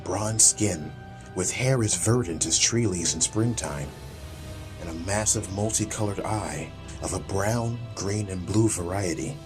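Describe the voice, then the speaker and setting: A man with a deep voice narrates a fantasy audiobook. His delivery is measured, literary and dramatic, with music playing clearly behind him.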